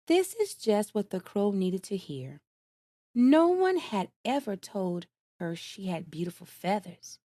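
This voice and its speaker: A woman reading a storybook aloud at bedtime, gentle and expressive, in a measured way. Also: mid-range voice, no room sound, clean studio sound.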